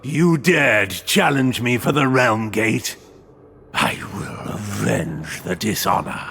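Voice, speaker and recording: An actor playing a grim, imperious undead knight warlord in a video game cutscene, theatrical, commanding and menacing. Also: male voice, fairly low pitch, lively intonation, slow pace, vocal fry, sharp tone, slight background noise, full character voice. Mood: cold, menacing.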